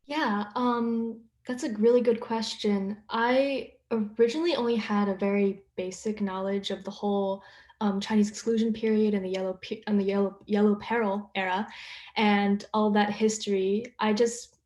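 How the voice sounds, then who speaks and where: A woman's voice: mid-range voice, fairly even intonation, normal speed, darkish tone. A young woman answering a question as a playwright on a panel. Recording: roomy, echoing audio.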